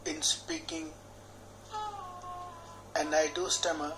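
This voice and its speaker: A man, a healer guiding a tapping session in a slow, measured, soothing way, speaking repeated affirmations phrase by phrase. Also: on the high side, little pitch movement, poor audio. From India. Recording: clear background music under the voice.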